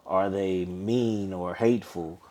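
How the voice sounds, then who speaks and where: American guy in an interview, slow and thoughtful, lowish voice, keeps restarting bits. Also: fairly even intonation, mellow. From United States. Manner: measured, reflective, restarts phrases. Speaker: guest. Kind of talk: candid interview talk.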